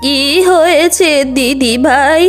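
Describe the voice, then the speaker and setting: A woman's voice: high-pitched, slow, soft background music. A woman voicing a kindly old granny fairy in a children's cartoon, soothing and drawn out.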